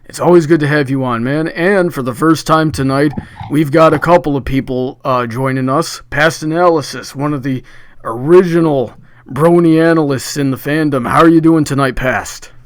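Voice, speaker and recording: A man's voice: on the high side, moderate pace, no room sound. A man hosting a live debate stream in a chatty, upbeat way, with frequent filler restarts. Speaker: debate livestream host. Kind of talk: casual hosting chat.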